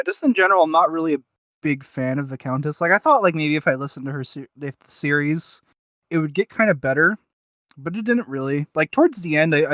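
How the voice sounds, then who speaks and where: A man's voice: on the high side, fairly bright tone, no room sound, muffled recording. A man co-hosting a casual review podcast, rambling and hesitant as he searches for his words. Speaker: podcast co-host reviewing audio series.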